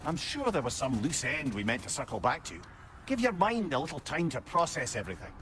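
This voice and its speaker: An actor with a Scottish accent playing a witty, wordy old sage, a talking head, in a video game drama. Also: male voice, fairly high pitch, sing-song, a little fast, a bit bright. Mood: earnest, reasoning. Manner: wordy, wry, colloquial cursing. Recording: game music behind the voice.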